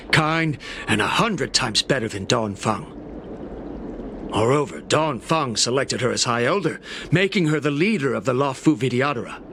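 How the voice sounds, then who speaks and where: An actor playing a proud, protective dragon-folk alchemist in a video game, speaking formally with grave insistence. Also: male voice, mid-range voice, noisy background, close to an everyday voice. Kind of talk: video game cutscene acting. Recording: music behind the voice.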